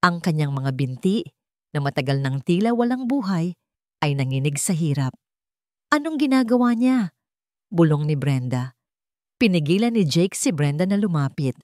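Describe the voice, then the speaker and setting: Low-pitched woman narrating a sentimental love story. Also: animated, moderate pace, a little mellow, dry recording, clean studio sound.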